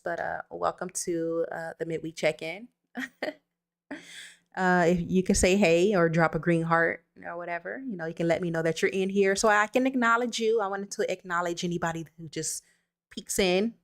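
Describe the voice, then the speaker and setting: A woman's voice: fairly low pitch, steady tone, fairly quick. A woman hosting a casual livestream, chatting loosely and reassuringly as she reads out viewers' comments. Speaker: livestream host. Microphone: streaming setup with computer mic.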